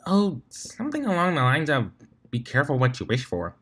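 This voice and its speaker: Storybook know-it-all unicorn girl, played by a man: pitched up, pedantic, contrary, bookish. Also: huge pitch swings, fairly quick, fairly bright tone, husky, full character voice. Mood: cheesy, smug. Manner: pedantic, lecturing. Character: bookish, contrary young female unicorn pony.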